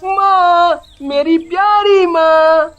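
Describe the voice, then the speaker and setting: A woman's voice: fairly high pitch, expressive, slow, bright, forceful tone, lo-fi sound, full character voice. A woman voicing an innocent, trusting little boy in a children's cartoon, childlike and earnest, with music behind. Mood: tearful joy, clingy. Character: innocent, trusting little boy who adores his mother. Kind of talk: Hindi children's cartoon dubbing.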